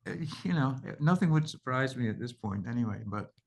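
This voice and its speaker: A man's voice: mid-range voice, expressive, a little fast, a little mellow. A man hosting a video call, talking in an easygoing way and laughing often. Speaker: host. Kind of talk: casual chat.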